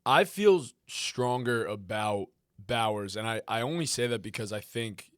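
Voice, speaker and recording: A man co-hosting a casual fantasy football discussion, talking quickly with frequent restarts. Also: lowish voice, expressive, smooth, dry recording, clean studio sound. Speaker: co-host.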